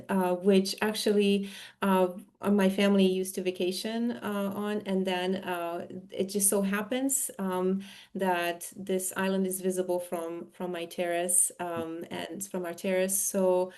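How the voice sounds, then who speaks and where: A woman from Serbia presenting her own art in a talk, speaking in a searching way with frequent pauses and self-interruptions. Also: medium pitch, mellow. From Serbia, former Yugoslavia. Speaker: artist, interviewee.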